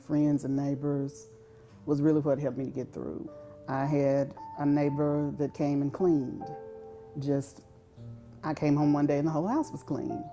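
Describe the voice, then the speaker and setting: Low, even-voiced woman sharing personal testimony. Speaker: cancer survivor and advocate.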